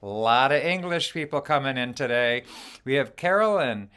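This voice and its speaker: A man's voice: medium pitch, lively intonation, normal speed, fairly bright tone, clean tone. A man hosting an online sing-along over a video call, chatty and cheerful, greeting viewers by name. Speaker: host.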